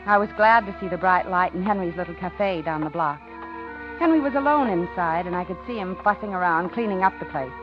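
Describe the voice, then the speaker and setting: An American actress playing a polite, uneasy widowed office worker in a 1950s radio suspense drama, confiding and genteel. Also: female voice, on the low side, fairly quick, natural voice. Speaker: radio drama lead and narrator. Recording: muffled 1950s radio recording, organ music.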